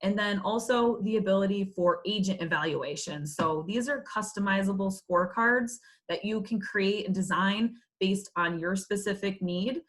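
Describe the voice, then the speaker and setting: A woman's voice: mid-range voice, normal speed, hardly any pauses, fairly bright tone. A woman presenting in a webinar, explaining features in a business-like way.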